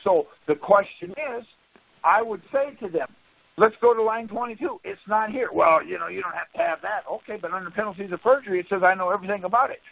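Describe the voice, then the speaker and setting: Man, high voice, insistent, argumentative explaining, repetitive, interrupts, dull conference call audio. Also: expressive, fairly quick, gravelly. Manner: insistent, interrupting, repeats himself. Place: group phone conference call.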